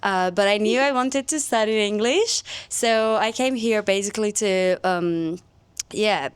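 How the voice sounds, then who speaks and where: A woman's voice: medium pitch, measured pace, clear, resonant tone, no room sound. A young woman from Italy, introducing herself in a hesitant casual way with many fillers. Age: young adult. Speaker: guest. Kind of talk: casual chat.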